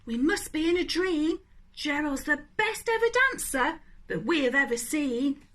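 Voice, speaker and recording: A woman voicing brash, excitable jungle animals from a children's storybook, exclaiming loudly. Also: high voice, a little slow. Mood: amazed. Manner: loud, exclaiming.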